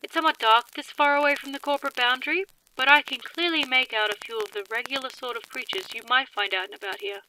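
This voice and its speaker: A woman's voice: high-pitched, fairly even intonation, quick pace, hardly any pauses, background noise, lightly acted. A woman playing a formal, precise radio field reporter in a radio drama. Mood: composed, businesslike. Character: composed female troll field reporter, gradually unnerved.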